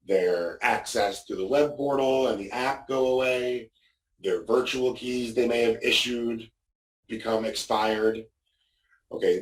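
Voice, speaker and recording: A man giving an informal, explanatory product demo as a walkthrough, often punctuating his points with "okay". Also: lowish voice. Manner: explanatory, frequently checking with "okay". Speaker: product demonstrator. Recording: roomy echo, distant microphone.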